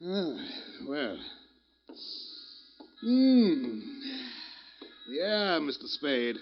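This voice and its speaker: High-voiced pompous eccentric gentleman. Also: sing-song, extremely slow, heavily acted. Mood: grand, oracular. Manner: florid, grandiose, archaic diction. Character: pompous, theatrical, eccentric mystic gentleman, grandly oracular. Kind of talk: 1940s radio drama acting. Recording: dull vintage radio, faint music.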